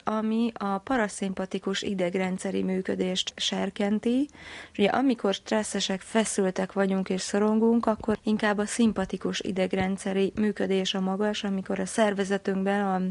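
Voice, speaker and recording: Gravelly-voiced woman explaining research. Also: mid-range voice, darkish tone, no echo. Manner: explanatory, academic phrasing. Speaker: research psychologist, interviewed guest.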